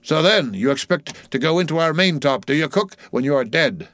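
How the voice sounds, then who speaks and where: Man playing a bossy mocking ship's officer, raised pitch. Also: animated, normal speed, fairly bright tone, dry recording. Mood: mock-solemn. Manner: teasing, mock-solemn, commanding.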